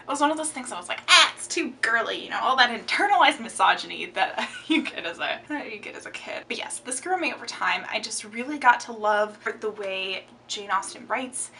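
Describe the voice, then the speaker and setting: A woman's voice: fairly high pitch, a little fast, creaky, hardly any pauses, recorded from a distance, slight background noise. A young woman chatting expressively about her favorite books in a casual vlog from her home room. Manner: chatty and expressive, gestures a lot. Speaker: book vlogger. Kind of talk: casual book tag chat. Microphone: camera framing, upper body.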